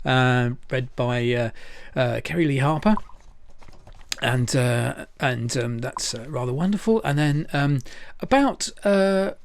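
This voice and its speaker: A man's voice: a little high, slight background noise. A man hosting an informal livestream, chatty and hesitant as he rambles through announcements. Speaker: event host and presenter.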